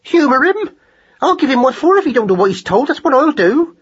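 A man voicing a sharp-tempered but doting mother mouse with rustic, ungrammatical speech. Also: very animated, normal speed, highs cut off, full character voice. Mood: indignant, scolding. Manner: rustic, ungrammatical, shrill scolding.

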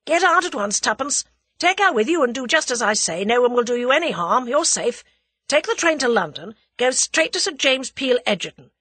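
A woman's voice: on the high side, very animated, moderate pace, fairly bright tone, no echo, voice pushed up. A woman voicing a determined young Englishman who gives curt, firm orders. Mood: commanding. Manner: curt, commanding.

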